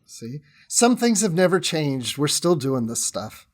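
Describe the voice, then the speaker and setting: A man's voice: a little high, sing-song, a little slow. A man chatting casually as a guest on a podcast.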